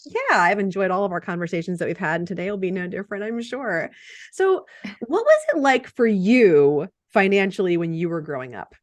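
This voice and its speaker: A woman hosting a podcast interview, reading her guest's introduction and then chatting in a relaxed, conversational way. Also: mid-range voice, brisk. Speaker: podcast host.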